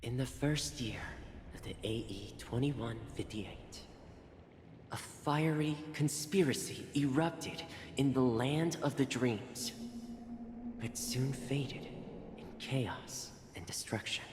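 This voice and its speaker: A solemn storyteller voiced by a man chronicles a game world in an unhurried, portentous voice. The delivery is grave and theatrical. It rings in a roomy reverb with noise behind it. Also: medium pitch, natural voice. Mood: grave, ominous. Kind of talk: dramatic scripted narration.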